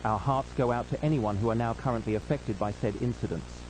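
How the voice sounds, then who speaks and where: A man's voice: medium pitch, fairly quick, noisy background. A man reading a scripted emergency news announcement in a formal, solemn manner as a broadcast announcer.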